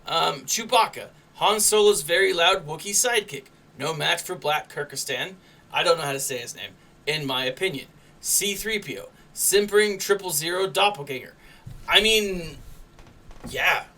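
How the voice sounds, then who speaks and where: Male vlog host, higher-pitched and forceful, chatty and opinionated, recorded a little off the mic. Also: moderate pace, recorded from a distance. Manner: emphatic, conversational, repeats "right?" as a tag. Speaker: YouTube host and reviewer. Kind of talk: casual opinionated commentary to viewers.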